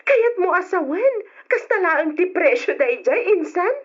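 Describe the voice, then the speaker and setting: Gossipy, teasing young Ilocano cousin, high voice, muffled radio drama recording. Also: female voice, big pitch swings, moderate pace. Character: chatty, nosy, teasing young female cousin.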